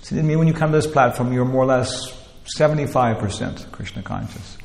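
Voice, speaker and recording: A man gives a loose, rambling talk on scripture, leaning on fillers and starting phrases over. His voice has a gravelly edge. The sound is roomy, with a long hall echo. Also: medium pitch, fairly quick, a little mellow, a little hiss or hum. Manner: rambling, many "you know" fillers and restarts. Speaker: teacher leading a scripture class. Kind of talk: informal spiritual lecture.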